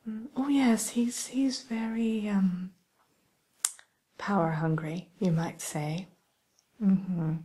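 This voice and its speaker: A woman softly whispering an ASMR roleplay as a polite, hesitant matchmaker who soothes and defers to her client. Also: mid-range voice, steady tone, takes it slowly, pauses often. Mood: hushed, tactful. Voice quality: breathy, mostly whispered. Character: polite, accommodating female matchmaker at a dating service for villains.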